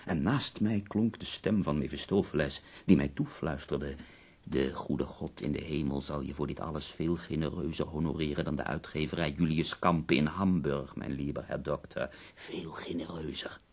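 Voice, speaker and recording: An actor playing a bedridden, dying poet in a radio drama, ironic, eloquent and given to complaint, with a gravelly, husky voice. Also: male voice, rumbling low, steady tone, darkish tone, exaggerated voice. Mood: ironic confiding. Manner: eloquent, wry, drawn-out pauses.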